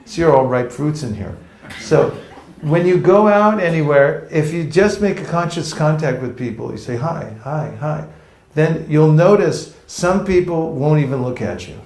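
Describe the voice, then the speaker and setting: A man's voice: a little high, lively intonation, unhurried, darkish tone. Playful man teaching a seminar, echoey room. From California. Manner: playful, jokey, acts out street encounters. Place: seminar room with a live audience.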